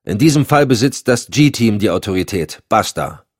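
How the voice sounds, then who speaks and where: A man's voice: lowish voice, lively intonation, normal speed, no echo, studio quality, pitched above the performer's own voice. A man voicing a stern, decisive president in an audiobook, speaking with authority. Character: firm, authoritative male president cutting off quarrels. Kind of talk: audiobook dialogue.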